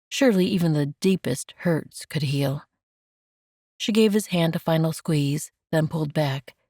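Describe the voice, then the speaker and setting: A woman reads romance fiction as an audiobook narrator. Her voice is a little low and her delivery is slow, gentle and measured. The sound is very dry, with no room around it. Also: no room sound.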